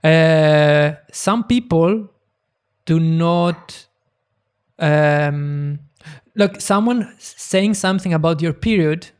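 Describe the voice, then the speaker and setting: A man hosting a podcast in casual chat, talking in a halting, hesitant way. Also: on the high side, clean tone. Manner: hesitant, halting, with many fillers and restarts. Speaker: podcast host.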